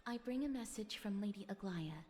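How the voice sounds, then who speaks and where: A woman's voice: mid-range voice, expressive, moderate pace, darkish tone, a little hiss or hum, close to an everyday voice. An actress playing a courteous, composed young female messenger in a video game, speaking politely and formally.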